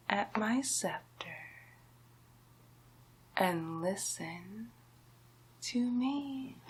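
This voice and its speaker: A woman voicing a scheming, domineering male sorcerer villain in a slow, breathy, often whispered ASMR roleplay. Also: mid-range voice, exaggerated voice. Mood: hypnotic, coaxing. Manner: slow, drawn-out, menacing, hypnotic.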